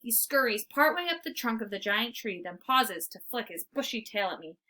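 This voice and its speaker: Animated woman reading a storybook aloud. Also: on the high side, normal speed. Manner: animated, theatrical. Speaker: storybook reader. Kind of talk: expressive read-aloud narration.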